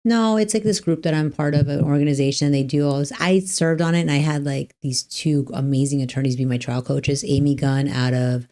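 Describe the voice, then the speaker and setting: A woman with a low voice hosts a relaxed podcast chat. She rambles warmly through her points, restarting sentences and leaning on "like". It sounds like easy talk between friends. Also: brisk, hardly any pauses. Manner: chatty, rambling, lots of "like" and restarts. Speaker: podcast host and trial attorney. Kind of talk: casual podcast conversation.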